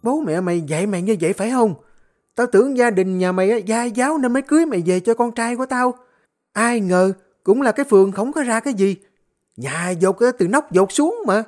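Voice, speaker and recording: A woman's voice: mid-range voice, animated, a little fast, a little mellow, lightly acted. A woman voicing a cruel elderly mother-in-law who hurls screeching insults in an audio story. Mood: scolding. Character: shrill cruel old mother-in-law, scolding.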